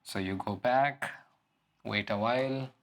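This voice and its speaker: A man giving an unscripted product walkthrough as a reviewer, explaining things in halting phrases. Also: mid-range voice, fairly bright tone. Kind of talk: unscripted app and gadget walkthrough.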